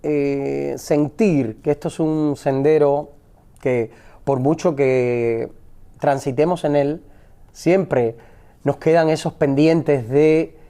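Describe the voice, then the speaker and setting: A middle-aged Cuban man with a Cuban accent, answering interview questions in a measured, reflective way with frequent pauses and restarts. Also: fairly high pitch, warm tone. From Cuba. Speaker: actor and poet guest. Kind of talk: interview conversation.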